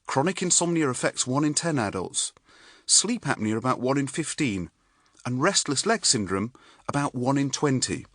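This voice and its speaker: Audiobook narrator: British man, middle-aged, medium pitch with animated inflection, measured scripted delivery, very dry recording. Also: no room sound. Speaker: author and neurologist reading own audiobook.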